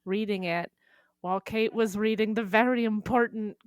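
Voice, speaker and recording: A woman's voice: medium pitch, steady tone, normal speed, no echo. A woman co-hosting a podcast, chatting casually with a giggly, wry manner. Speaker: podcast co-host. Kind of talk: casual joking chat.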